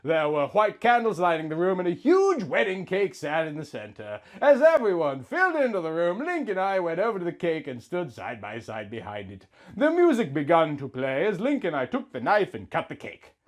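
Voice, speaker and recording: A man in his thirties reading a story aloud in a melodramatic, mock-sentimental comic style, swinging between hushed and loud. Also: fairly high pitch, very animated, normal speed, hardly any pauses. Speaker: comic host reading out fan fiction.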